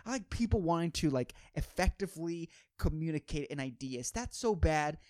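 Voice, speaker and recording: A man's voice: fairly high pitch, animated, fairly quick, a little mellow. A man hosts a podcast. He delivers a loose comedic monologue, rambling in slang and swearing freely, circling back on phrases to press them home. The sound is dry, with very little room echo. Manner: rambling, slangy, profane, repeats phrases for emphasis. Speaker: podcast host.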